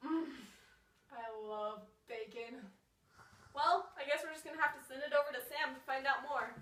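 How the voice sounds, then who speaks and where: A woman's voice: sits high, normal speed, fairly bright tone. A woman anchoring a mock news skit in a chatty, stumbling way. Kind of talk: acting out a mock news show.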